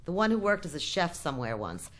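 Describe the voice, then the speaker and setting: A woman playing a shrewd, bossy outpost chief with a brisk, guarded, commanding manner in a radio drama. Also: lowish voice, very animated. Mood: brisk, harried.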